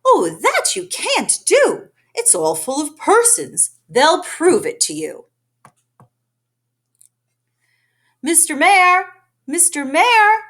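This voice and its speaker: A woman's voice: very high voice, wildly swooping pitch, slow pace, fairly bright tone, pitched above the performer's own voice, heavily acted. A woman voicing an earnest, kindly male elephant in a children's storybook, pleading and urgent. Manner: urgent, imploring. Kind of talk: children's storybook read-aloud.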